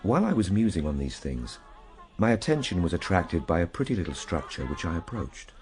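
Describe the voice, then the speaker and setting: An actor playing a reflective gentleman traveller, narrating his journey in a measured, literary, solemn manner for a radio drama. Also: deep voice, very animated.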